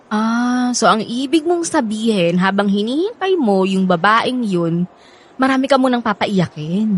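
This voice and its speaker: A woman's voice: fairly high pitch, lively intonation, measured pace, slightly rough. A woman playing a sassy, skeptical young woman in a radio drama, scolding and sarcastic.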